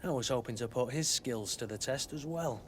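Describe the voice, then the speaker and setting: An actor playing a gruff, loyal mercenary weapons master in a video game, wry and bantering. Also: male voice, mid-range voice, normal speed, a little hiss or hum, natural voice.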